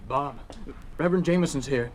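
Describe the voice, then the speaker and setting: A man's voice: fairly high pitch, fairly quick, natural voice. A man playing an anxious, earnest, loyal son-in-law in a 1950s radio drama, speaking with urgency. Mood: tense. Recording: faintly noisy old radio recording.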